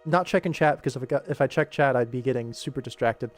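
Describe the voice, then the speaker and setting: A man's voice: mid-range voice, quick pace, soft background music. A young man rambling casually as he hosts a live work stream and talks through his animation work. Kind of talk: casual rambling livestream commentary while working.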